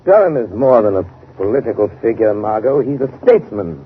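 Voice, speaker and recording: An actor playing a shrewd, assured gentleman crime-fighter in a 1930s radio drama, speaking in a measured, articulate, grave way. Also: on the low side, sing-song. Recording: noisy, narrow-band 1930s radio broadcast.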